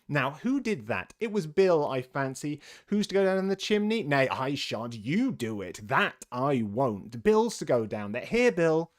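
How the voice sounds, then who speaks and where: A man voicing small rustic animals who bustle and bicker in a bossy, excitable way for a storybook. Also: a little high, unhurried, few pauses, voice pushed up. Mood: bustling, bickering.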